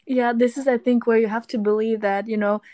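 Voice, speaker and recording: A woman's voice: a little high, steady tone, fairly quick, dark tone. A woman hosting a casual chat, encouraging and supportive toward her guest. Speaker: host. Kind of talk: casual conversation.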